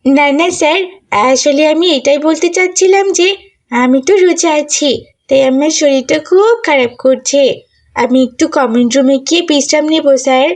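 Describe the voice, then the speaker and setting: A woman's voice: high-pitched, measured pace. A woman voicing a sly, whiny schoolgirl who makes excuses, in a pleading, dramatic manner for a cartoon.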